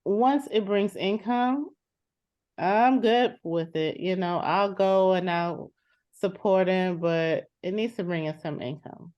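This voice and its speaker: A woman's voice: medium pitch, slow, darkish tone, lightly acted. A woman playing a practical, frustrated sister in an improvised session over a video call, plain-spoken and insistent. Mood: firm, conditional. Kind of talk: improvised role-play dialogue. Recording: slightly muffled video-call audio.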